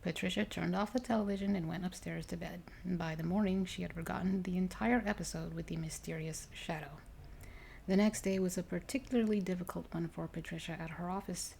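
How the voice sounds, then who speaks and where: A woman reading a story aloud ASMR-style, soft and unhurried, partly whispered. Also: on the low side, steady tone, gravelly. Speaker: ASMR reader. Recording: faint noise behind the voice.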